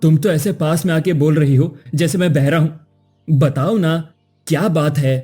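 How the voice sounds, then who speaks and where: Woman playing a young woodcutter, husky, mellow, pleading, cartoon husband. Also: deep voice, normal speed, soft background music. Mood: puzzled. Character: poor young woodcutter husband, weary and despairing. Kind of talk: cartoon voice acting.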